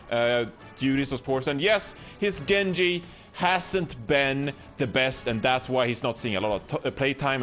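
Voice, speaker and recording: A man analysing esports on a live desk show, animated and emphatic. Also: mid-range voice. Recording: music bed behind.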